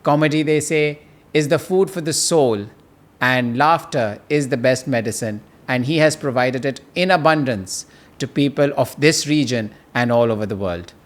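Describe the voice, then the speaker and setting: A man's voice: mid-range voice, normal speed. A forty-year-old man speaking as the host of a podcast, in a conversational style. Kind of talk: scripted welcome turning into casual banter.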